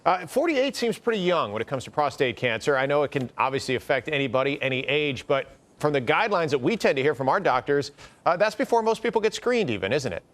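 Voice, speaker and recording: A man's voice: on the high side, sing-song, fast, fairly bright tone. A man with an American accent anchoring live morning news, reading stories and putting questions to a guest.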